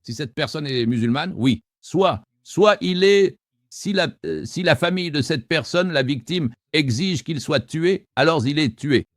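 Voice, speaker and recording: A man hosting a call-in debate, forceful and argumentative, who often cuts in on his callers. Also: a little high, expressive, a little slow, dry recording, clean studio sound. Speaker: host.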